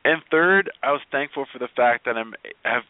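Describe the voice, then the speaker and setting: A man's voice: medium pitch, moderate pace, dry recording. A middle-aged man talking casually as an interview guest, earnest and emphatic, often repeating his phrases. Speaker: guest, motivational speaker. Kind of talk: casual interview talk with personal anecdotes. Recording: tinny phone-like radio line.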